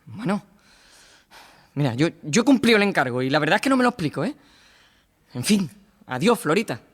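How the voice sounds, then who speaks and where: A man playing an excitable, chatty male friend bringing news in a theatrical radio drama. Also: on the high side, brisk, big-room sound. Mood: baffled.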